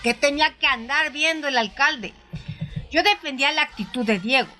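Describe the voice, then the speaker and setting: A woman narrating a story in the first person in a conversational, dramatized style, with hesitant pauses. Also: sits high, pushed and bright. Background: music.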